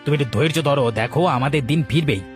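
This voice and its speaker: A man voicing a calm, self-assured village food seller in a moral cartoon, patiently persuading with rhetorical questions. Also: on the high side, racing, over music, lightly acted. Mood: confident, reassuring. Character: calm, confident village food seller, reassuring his worried wife. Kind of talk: Bengali moral cartoon dialogue.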